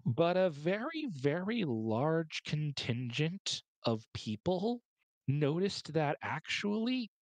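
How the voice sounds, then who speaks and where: A man's voice: on the high side, sing-song, takes it slowly, no echo. A man speaking as a podcast panelist, explaining his points at length in a wordy, emphatic, step-by-step way.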